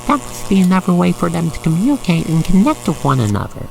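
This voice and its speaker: An expressive narrator guiding the listener through an ASMR roleplay story in a gushing, sentimental manner. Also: mid-range voice, fast, vocal fry, a little hiss or hum, low-quality recording.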